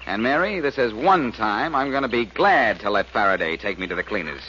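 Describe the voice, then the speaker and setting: A muffled old radio recording carries a brash American detective hero. His voice is low and swings widely as he wisecracks and gives orders in 1940s radio-drama style. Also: animated, a little fast, sharp tone, soft background music. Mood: intent, wry. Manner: cocky, commanding, wisecracking. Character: brash, quick-witted male amateur sleuth.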